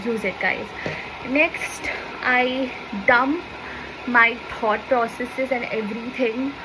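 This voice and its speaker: A woman's voice: a little high, slow, noisy background. A young woman from Bengal with a Bengali accent, chatting casually to camera in a lifestyle vlog while showing journal pages. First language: Bangla. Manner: chatty, repeats "see" and "so yeah", shows pages as she talks. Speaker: lifestyle vlogger.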